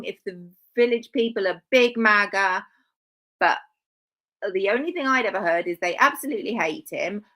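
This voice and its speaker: A woman's voice: mid-range voice, bright, forceful tone. A woman hosting a live stream, talking casually with dry sarcastic asides and rambling self-corrections.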